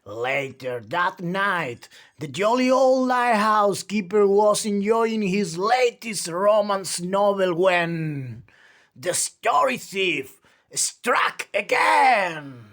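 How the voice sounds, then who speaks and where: A male storyteller reads aloud for young children. His pace is very unhurried and his pitch swings widely, with theatrical stress that draws out important words. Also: expressive, a bit bright. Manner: theatrical, slow, drawn-out emphasis. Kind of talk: expressive picture-book read-aloud for young children.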